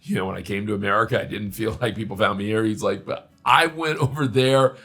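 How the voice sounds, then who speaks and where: A man's voice: lowish voice, fast, bright, forceful tone. A man co-hosting a talk show, speaking in casual discussion.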